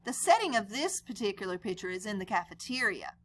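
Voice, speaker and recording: Bright, animated woman reading to children. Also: mid-range voice, fairly quick. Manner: animated, conversational, addresses young listeners with questions. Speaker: read-aloud storyteller and teacher. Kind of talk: children's picture-book read-aloud with explanations.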